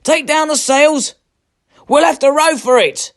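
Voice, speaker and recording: A man's voice: very high voice, measured pace, vocal fry, fairly bright tone, dry recording, studio quality, pitched above the performer's own voice, full character voice. A man voicing a bold, determined Viking captain from a storybook, declaiming in a commanding manner. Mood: urgent shouting. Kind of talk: dramatic storybook character voice.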